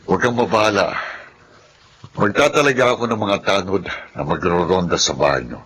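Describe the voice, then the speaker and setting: A man with a Filipino accent playing a measured village captain in a horror radio drama. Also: low-pitched, a bit bright, a little hiss or hum, poor audio. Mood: reassuring. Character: reassuring but shifty male village captain.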